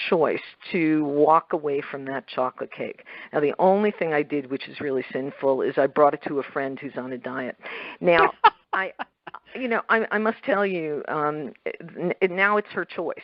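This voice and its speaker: A woman's voice: sits low, unhurried, no room sound, lo-fi sound. A middle-aged or older woman hosting a radio interview in a chatty, rambling, emphatic conversational way. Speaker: radio talk show host. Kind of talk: conversational interview with personal anecdotes.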